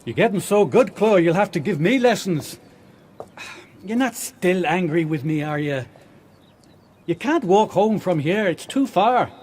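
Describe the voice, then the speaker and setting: An actor playing a teasing, impatient young suitor in a radio drama. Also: male voice, high-pitched, animated, a little slow, darkish tone, slight background noise.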